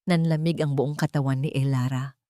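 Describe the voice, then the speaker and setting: A woman with a Filipino accent narrating a romance story in a measured, dramatic storytelling manner. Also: extremely low pitch, steady tone, mellow, dry recording, studio quality.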